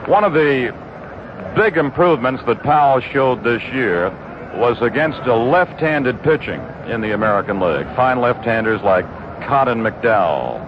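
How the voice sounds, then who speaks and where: A male play-by-play announcer calls a ballgame in a steady, measured way, weaving statistics between pitches. The sound is a dull, narrow-band 1960s radio recording, with clear noise and stadium ambience behind him. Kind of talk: live radio baseball commentary. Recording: muffled old 1960s radio broadcast with noise.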